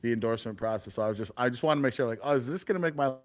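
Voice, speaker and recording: A man co-hosting a casual political podcast panel, talking in a relaxed, chatty way with plenty of fillers. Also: mid-range voice, very fast. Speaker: podcast co-host, party committeeman.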